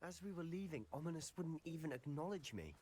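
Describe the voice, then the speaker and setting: A man's voice: fairly high pitch, expressive, brisk, warm tone, a little hiss or hum, close to an everyday voice. A young man playing a determined, stubborn teenage schoolboy wizard in a video game, earnest and insistent. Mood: regretful. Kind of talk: dramatic video game voice acting. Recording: long reverb, music underneath.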